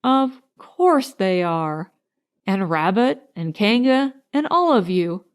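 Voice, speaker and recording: A woman's voice: medium pitch, expressive, slow pace, smooth. A woman voicing a confident, carefree young boy in a storybook, careless and a little authoritative.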